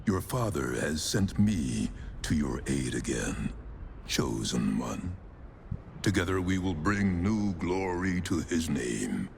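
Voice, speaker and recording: An actor playing a menacing, bloodthirsty servant of a dark god in a fantasy game, grandiose and ominous. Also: male voice, very deep voice, big pitch swings, slow pace, vocal fry, slight background noise, exaggerated voice. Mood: ominous zeal.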